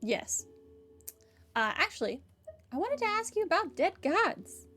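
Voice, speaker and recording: A woman's voice: very high voice, very animated, measured pace, pushed and bright, soft background music. A woman doing casual commentary on a game playthrough, reading dialogue choices aloud. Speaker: gamer streaming a playthrough.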